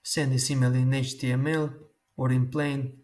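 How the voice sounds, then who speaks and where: Man explaining software steps. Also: medium pitch, level tone. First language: Croatian. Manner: slow, step-by-step instructions. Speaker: tutorial host. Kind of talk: screen-recorded software tutorial.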